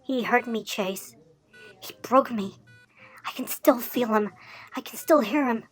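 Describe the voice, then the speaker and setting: Woman as traumatized boy Dalmatian pup, high voice, anguished, halting, cartoon fan drama, music underneath. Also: expressive. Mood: broken, despairing. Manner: anguished, halting, swinging between hushed and loud. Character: traumatized young male Dalmatian pup, despairing and bitter.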